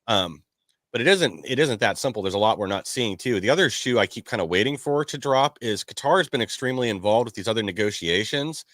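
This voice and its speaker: A man's voice: lowish voice, fairly bright tone, no room sound. A man co-hosting a live stream, talking in a modest, easygoing way with some hesitation. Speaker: co-host. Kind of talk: casual live-stream commentary.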